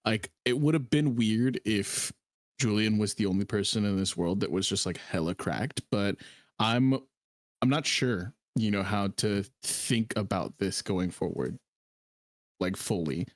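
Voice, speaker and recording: Male co-host for casual stream chat: lowish voice, close dry sound, slangy delivery. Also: unhurried, dry recording. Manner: informal internet slang. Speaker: livestream co-host. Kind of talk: casual chat about manga.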